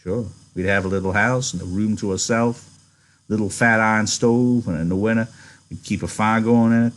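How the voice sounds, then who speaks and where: A man voicing a practical, guarded yet dreamy male ranch worker with rough, folksy phrasing in a novel reading. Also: on the low side, fairly even intonation, normal speed, natural voice. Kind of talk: audiobook-style character reading.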